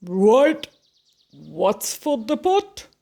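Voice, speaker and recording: Woman doing a slow, growly, greedy storybook beast. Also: on the high side, big pitch swings, warm tone, exaggerated voice. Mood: greedy, eager. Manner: slow, drawn-out, growling delivery. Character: grumpy, hungry, gullible male beast, big monster. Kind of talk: picture-book character voice.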